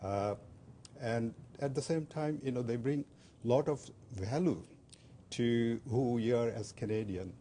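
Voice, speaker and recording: An older man from Bangladesh whose first language is Bengali, a guest on a community TV interview, speaking haltingly with many fillers and restarts. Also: medium pitch, mellow, a little hiss or hum.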